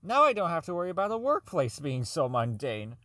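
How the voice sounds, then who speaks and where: A man playing a socially inept, bitter robotics engineer in an audio drama, rambling and stammering through profane log entries as he slowly comes unhinged. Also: fairly high pitch, huge pitch swings, pushed and bright, no echo, clean studio sound, heavily acted. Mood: sardonic. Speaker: voice actor in a fan-made audio drama. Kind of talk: dramatic monologue as a recorded log.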